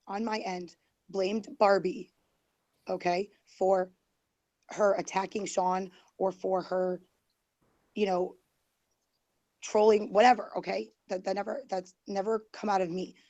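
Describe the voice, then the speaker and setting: Dark-voiced woman losing her voice. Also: medium pitch, steady tone, takes it slowly, pauses often, no room sound. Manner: rambling, defensive, many fillers and restarts. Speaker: guest. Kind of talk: heated online group call.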